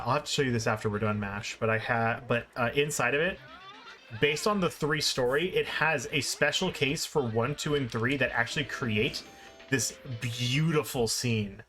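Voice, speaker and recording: A man's voice: medium pitch, a bit bright. A man chatting casually as he streams a video game. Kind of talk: casual live stream commentary. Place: indoor live stream with game music behind.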